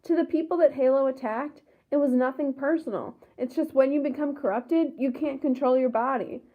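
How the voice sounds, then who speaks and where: Through a thin, phone-like recording comes a defiant freed slave and rebel leader , read by a woman. He speaks in a high, earnest storybook voice with rousing emphasis. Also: natural voice. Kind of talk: storybook read-aloud dialogue.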